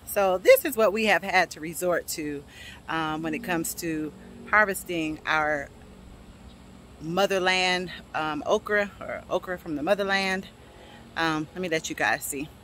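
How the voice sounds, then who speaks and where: A woman's voice: lowish voice, a little slow, a bit bright, a little hiss or hum. A woman in her forties giving a casual, chatty tour of her backyard garden on a phone held at arm's length, laughing often. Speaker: vlogger.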